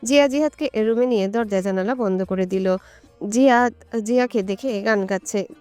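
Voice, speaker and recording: A woman's voice: mid-range voice, normal speed, clear, resonant tone, no echo. A woman reading scripted narration for a romantic story. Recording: soft music behind the voice.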